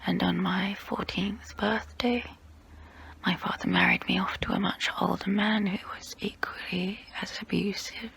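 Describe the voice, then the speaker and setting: Casting: ancient female vampire played by a woman for ASMR role play. Breathy whisper, crisp bright edge, unhurried, proud yet wistful. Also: medium pitch, fairly even intonation, exaggerated voice. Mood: pained, subdued. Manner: slow, intimate, close-up, deliberate pauses.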